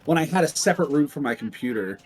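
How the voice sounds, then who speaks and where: A man's voice: a little high, lively intonation, fast talker, rough recording. A man joining a stream as a guest, chatting casually and hesitantly, often trailing off. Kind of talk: casual gaming chat.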